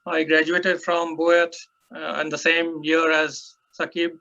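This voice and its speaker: A man from Bangladesh introduces himself in a plain, matter-of-fact way over a video call. His voice sits a little high and stays flat, and the call audio sounds muffled. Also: slow pace, gravelly. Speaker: professor, attendee. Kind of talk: self-introduction.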